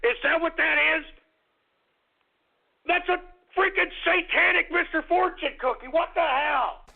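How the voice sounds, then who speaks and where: A man hosting a call-in radio show, ranting, shouting and swearing at callers while losing his voice. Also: extremely high pitch, a little slow, vocal fry. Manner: shouting, profane, abrasive. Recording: phone-like narrow sound.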